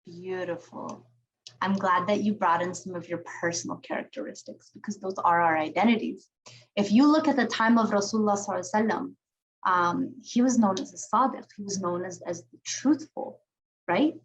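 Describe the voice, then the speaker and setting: A woman's voice: mid-range voice, fairly quick, muffled recording. A woman facilitating an online youth check-in, affectionate and encouraging, praising each young speaker in turn. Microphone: video call.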